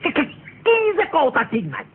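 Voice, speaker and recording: A man voicing a shrill, greedy father of a groom in a cartoon, with a Bangladeshi accent. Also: big pitch swings, normal speed. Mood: indignant. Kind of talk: animated cartoon dialogue. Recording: muffled lo-fi cartoon audio, faint noise.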